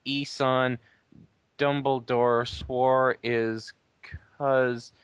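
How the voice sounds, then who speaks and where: A man's voice: medium pitch, little pitch movement, drawn-out words, clear, resonant tone, no echo. A man giving a dramatic reading of fan fiction, breaking off with mocking asides. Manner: breaks off to mock the text. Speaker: player in a dramatic reading game.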